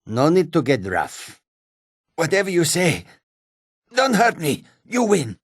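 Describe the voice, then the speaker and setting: Gruff male cat-folk trader-warrior, raspy, lively swooping intonation, shrewd, theatrical, fantasy game. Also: fairly high pitch, slow pace, no echo, studio quality, exaggerated voice. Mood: frightened, alarmed. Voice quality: husky, rasping. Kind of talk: scripted fantasy video game voice lines.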